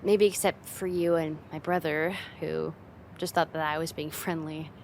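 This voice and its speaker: Playful, teasing young woman over rumbly noise, acted out. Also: fairly even intonation, normal speed. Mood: playful, confiding. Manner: teasing, giggly, soft sighs, casual hesitant phrasing. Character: playful teasing young woman, friend's older sister turned sweetheart. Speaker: ASMR roleplay performer. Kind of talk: intimate ASMR roleplay, casual and conversational.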